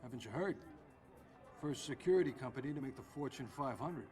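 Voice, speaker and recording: An actor playing a smug, confident private security boss with a smooth, needling way of talking. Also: male voice, mid-range voice, expressive, a little fast, darkish tone, lightly acted. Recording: game cutscene with music underneath.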